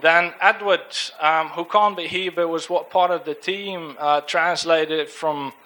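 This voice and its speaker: Young man, dutch accent, fairly high voice, halting, full of ums and restarts, handheld mic. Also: steady tone, sharp tone, rough recording. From Netherlands. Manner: hesitant, many fillers and restarts. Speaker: church trip member giving a report. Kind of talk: informal church talk. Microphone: handheld microphone.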